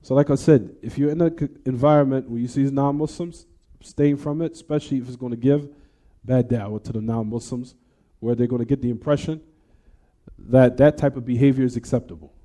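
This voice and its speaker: Very mellow, dark-voiced American male teacher. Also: mid-range voice, fairly even intonation, fairly quick. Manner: emphatic, repeats and rephrases phrases. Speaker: religious teacher. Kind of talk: Islamic lesson, reading and explaining a text, then taking questions.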